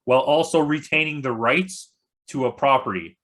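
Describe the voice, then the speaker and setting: Bright, forceful man, blunt podcast guest. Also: mid-range voice, moderate pace. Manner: blunt, dry joking. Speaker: podcast guest, movie critic. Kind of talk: casual chat.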